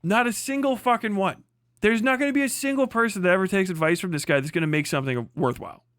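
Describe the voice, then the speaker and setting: A man's voice: high-pitched, quick pace, fairly bright tone, no room sound, clean studio sound. A man livestreaming casual reaction commentary, ranting off the cuff in a profane, mocking, rambling way. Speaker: livestream host.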